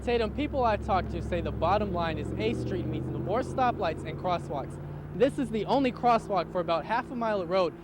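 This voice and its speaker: A man's voice: high-pitched, animated, brisk. A man delivering scripted television news reports as a field reporter. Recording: outdoor location sound with traffic noise.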